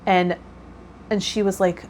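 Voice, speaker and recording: A woman's voice: mid-range voice, little pitch movement, slow, darkish tone. A woman hosting a personal vlog, talking casually in a rambling, self-effacing way. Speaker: host of a tarot vlog. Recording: clear background noise.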